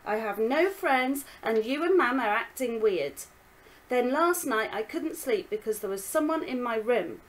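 Woman reading out a stroppy young girl, pleading then yelling, voice jumping all over. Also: very high voice, wildly swooping pitch, measured pace, pitched above the performer's own voice, exaggerated voice, low-quality recording. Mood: angry. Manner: pleading, rising to shouting. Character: upset young girl, defiant and tearful.

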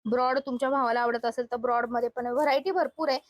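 Woman, high-pitched voice, dry close sound, shopping vlog host, spontaneous product showcase. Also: fairly even intonation, quick pace, no room sound. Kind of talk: spontaneous product showcase, presenting to viewers and chatting with the seller.

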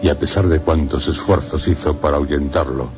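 A man narrating a gothic horror radio drama in a solemn, measured way. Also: very deep voice, steady tone. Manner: solemn, measured storytelling in short phrases. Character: grave male narrator of a gothic horror tale. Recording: music under the voice.

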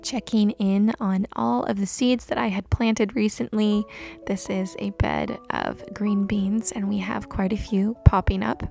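A young woman narrating her homestead day in a calm voice-over, gentle and unhurried. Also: medium pitch, vocal fry, faint music behind. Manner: gentle, unhurried, with soft laughs. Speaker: homesteading vlogger. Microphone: voice-over recorded separately.

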